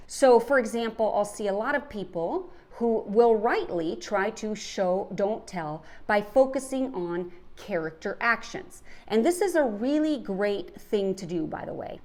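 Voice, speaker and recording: A woman's voice: a little high, darkish tone. Emphatic woman teaching storytelling. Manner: emphatic, explains with questions and examples. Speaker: podcast host and writing teacher. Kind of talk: podcast lesson on storytelling.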